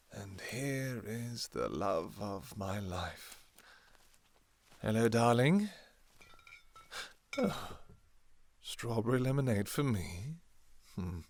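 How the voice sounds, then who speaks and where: A man playing an ancient, devoted vampire king and doting father in an intimate romantic audio roleplay, speaking softly and haltingly with sentimental endearments. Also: medium pitch, sing-song. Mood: gentle, encouraging. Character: tender, devoted two-thousand-year-old vampire king, doting father.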